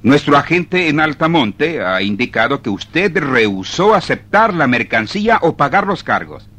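An actor playing a stiff official voicing a formal business letter in a radio drama. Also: male voice, a little high, big pitch swings, normal speed, fairly bright tone. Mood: officious.

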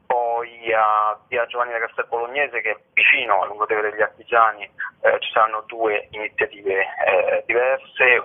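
Husky man on a muffled phone line. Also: fairly low pitch. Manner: halting, searching for words, repeating himself. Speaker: interview guest, festival participant and writer. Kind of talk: informal spoken interview. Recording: narrow, muffled telephone line.

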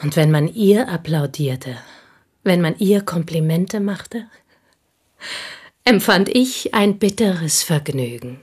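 Embittered woman, radio play, intimate confession, breathy rasp, slightly low pitch, intense, drifting into whispers. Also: lively intonation, takes it slowly, a little mellow, full character voice. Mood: bitter, reflective. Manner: confiding, intense, often dropping into breathy whispers. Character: plain, bitter woman confiding long-held envy and secret control. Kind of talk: German radio play dialogue, intimate monologue.

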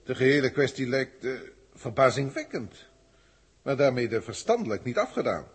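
A man with a Netherlands Dutch accent playing a haughty, learned alien senior scientist in a 1960s radio drama, speaking in formal, pedantic, theatrical phrases with a husky voice. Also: mid-range voice, sing-song, normal speed. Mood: formal, reporting.

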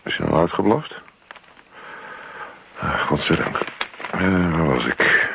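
An actor playing a put-upon, evasive husband in a radio play, muttering, grumbling and placating. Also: male voice, sits low, lively intonation, slow, creaky, slight background noise, exaggerated voice. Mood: grumbling to himself. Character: put-upon evasive husband who just wants peace and his newspaper. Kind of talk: Dutch radio play acting. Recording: dull lo-fi old radio recording.

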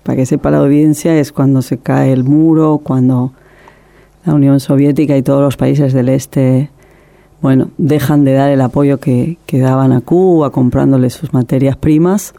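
A woman's voice: very deep voice, fairly even intonation, normal speed, vocal fry, warm tone. A middle-aged woman filmmaker telling her story in an interview, passionate and emotional, often restarting her sentences. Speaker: documentary filmmaker, guest.

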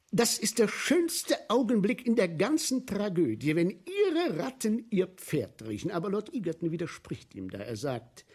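An actor in a radio play playing an obsequious, fervid sewer inspector who tells grisly tales with husky emphasis and deferential asides. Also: male voice, mid-range voice, a little mellow, exaggerated voice. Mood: relishing. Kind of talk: 1970s German radio play acting.

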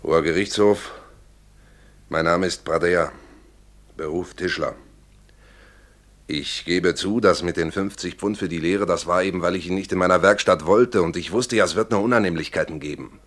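An actor playing a defensive carpenter giving testimony in a drama, formal and self-justifying. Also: male voice, sits low, normal speed, a bit bright. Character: defensive male carpenter testifying, evading blame. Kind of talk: stage-drama courtroom monologue.

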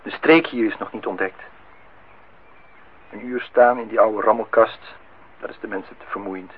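An actor playing a polite, lonely host in a radio play, formal and slightly insistent. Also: male voice, fairly low pitch, fairly even intonation, normal speed, lightly acted. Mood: hesitant, matter-of-fact. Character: polite, lonely man hosting a young woman at his lakeside house. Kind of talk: Dutch radio play acting. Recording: noisy, tinny old radio recording.

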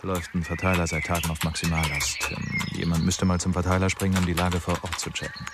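An actor playing a practical, comradely investigator who calmly consoles a colleague in a crime radio play. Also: male voice, low voice, fast, very noisy, close to an everyday voice. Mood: consoling, practical. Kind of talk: naturalistic crime radio drama dialogue.

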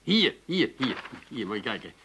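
An actor playing a gullible, earnest believer in horoscopes with an Amsterdam accent in a comedy radio play, explaining things naively and hesitantly. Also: male voice, medium pitch, very animated, moderate pace, sharp tone, slight background noise. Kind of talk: 1980s Dutch comedy radio play acting.

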